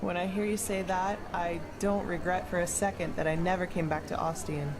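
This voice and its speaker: A woman's voice: lowish voice, level tone, moderate pace, noisy background, lightly acted. A woman playing a frank, worldly adventurer in a fantasy game, talking in a casual, wry and blunt way. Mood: friendly, wry. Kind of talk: scripted fantasy video game dialogue.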